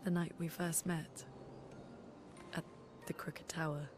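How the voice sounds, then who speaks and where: A woman voicing a determined, thoughtful young expedition leader in a video game cutscene, focused and gentle in her questions. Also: low voice, level tone, slow, background noise. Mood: soft, reflective. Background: music.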